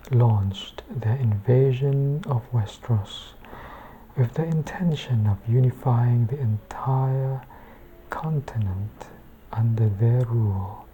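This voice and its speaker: A woman reading a bedtime book in ASMR style, hushed and measured, with a soft, breathy voice that often slips into a whisper. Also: extremely low pitch, dull audio, a little hiss or hum.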